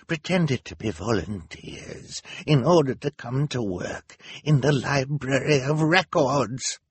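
A man voicing an angry old volunteer with poor eyesight, speaking slowly and accusingly in a storybook reading. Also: medium pitch, husky, no room sound, voice pushed up. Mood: weary accusation.

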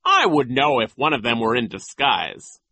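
A man's voice: sits high, wildly swooping pitch, unhurried, pushed and bright, no echo, pitched above the performer's own voice, full character voice. A man voicing a vain, pompous and nasty male school vice principal in a sneering, mocking manner for an audiobook. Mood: scornful. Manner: sneering, self-important, mocking.

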